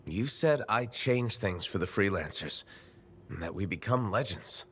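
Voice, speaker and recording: An actor playing a calm, reassuring male hero in video game dialogue. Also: on the low side, a little slow, breathy edge, exaggerated voice. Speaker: player character.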